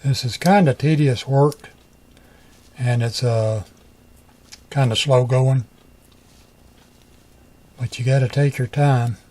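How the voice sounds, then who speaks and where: A man's voice: medium pitch, fairly even intonation, takes it slowly, long pauses, dark tone. A middle-aged man giving a casual hands-on tutorial, talking in a folksy, colloquial way and half muttering to himself as he works. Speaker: repair hobbyist.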